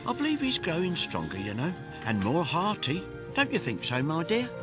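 An actor playing a cheerful, tender, devoted father in an audio drama, with a warm, hearty manner. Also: on the high side, huge pitch swings, normal speed, music in the background. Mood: tender, hopeful.

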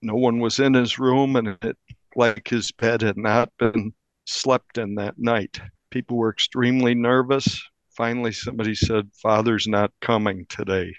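A man, a newspaper reporter, recounting a crime case in an interview with measured, deliberate storytelling. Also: medium pitch, steady tone, dry recording, highs cut off.

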